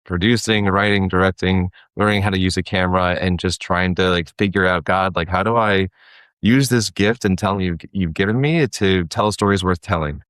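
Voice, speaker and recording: Man, deep voice, interview guest, casual storytelling, informal phrasing, quoted dialogue, dry recording. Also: normal speed, a bit bright, clear, resonant tone, no room sound. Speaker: podcast guest, actor and audio drama producer.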